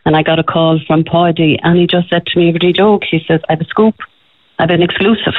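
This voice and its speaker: A middle-aged Irish woman, a former television presenter, telling a lively story as a radio interview guest. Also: fairly low pitch, fast talker, breathy edge, dry recording. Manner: breathless, excitable, addresses host by name. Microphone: telephone. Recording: tinny phone line.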